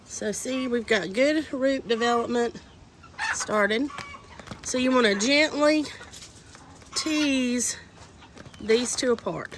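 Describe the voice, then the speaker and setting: Stuffy-nosed woman doing a chatty garden how-to outside, bit of wind noise, voice on the high side. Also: takes it slowly, pauses often. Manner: chatty, homey and instructive. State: congested from a cold. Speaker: homesteading vlogger. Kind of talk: casual how-to gardening vlog. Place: outdoors in the wind. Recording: faint wind noise outdoors.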